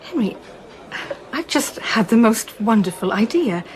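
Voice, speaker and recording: A woman's voice: a little high, very animated, a little slow, darkish tone, a faint crowd. An actress playing a kindly, optimistic, matchmaking married lady in a radio drama, encouraging and decisive in manner. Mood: persuasive.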